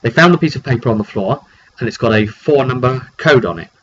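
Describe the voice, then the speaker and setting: A man with a cold who often clears his throat, casually recapping a tabletop roleplay session as game master in halting, phrase-by-phrase storytelling. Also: mid-range voice, expressive, a bit bright. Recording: dull lo-fi makeshift mic, clearly noisy.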